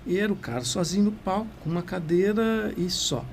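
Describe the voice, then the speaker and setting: A man's voice: high voice, lively intonation, brisk, a little hiss or hum. A Brazilian man, a culture manager, telling an enthusiastic, rambling story as a guest in an interview. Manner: enthusiastic, rambling, often tags "né?". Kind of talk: storytelling conversation.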